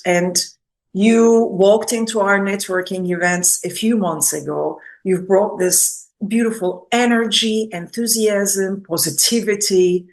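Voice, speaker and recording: A woman hosting a business spotlight interview, speaking in an effusive, complimentary way with deliberate phrasing. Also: medium pitch, rough recording. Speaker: interview host.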